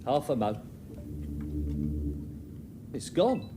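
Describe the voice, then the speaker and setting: A man's voice: mid-range voice, big pitch swings, extremely slow. A British man playing a pedantic, smug, bungling genius at a ship's controls in a sitcom, unflappable and self-assured. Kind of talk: sitcom acting. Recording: noisy, loud ambience behind.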